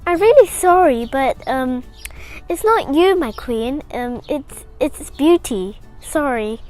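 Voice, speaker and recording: A woman's voice: high voice, lively intonation, takes it slowly, darkish tone, faint music behind. A woman storyteller voicing a meek, deferential magic mirror in a children's fairy tale. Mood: nervous, apologetic. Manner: hesitant, deferential. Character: meek, apologetic magic mirror serving a queen.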